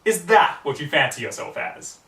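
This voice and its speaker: Man acting a loud, mocking evil pony queen. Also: fairly high pitch, sing-song, normal speed, vocal fry, heavily acted. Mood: mocking menace. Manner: theatrical, taunting. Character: mocking, menacing villainous female nightmare pony queen. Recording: roomy, distant mic, background noise.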